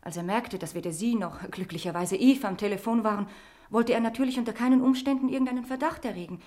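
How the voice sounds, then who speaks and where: A woman's voice: fairly high pitch, fast, a little mellow, lightly acted. An actress playing a frightened young woman with an addiction, confessing earnestly in in a radio crime drama. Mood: confessional, tense.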